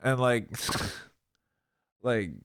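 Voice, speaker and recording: A man's voice: mid-range voice, very slow, fairly bright tone, clean tone, no room sound, studio quality. A young man with a stuffy nose, chatting casually as a podcast guest, rambling with lots of "like" and casual swearing. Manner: rambling, heavy use of "like", swearing. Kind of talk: casual chat.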